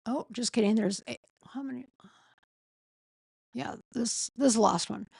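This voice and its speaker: A woman with a sore throat and a failing voice, reading a legal filing aloud in a slow, halting way and often stopping to correct herself. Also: fairly high pitch, creaky, darkish tone, husky, dry recording, clean studio sound. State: losing her voice, sore throat. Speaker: streamer reading a court filing. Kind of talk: casual late-night live stream.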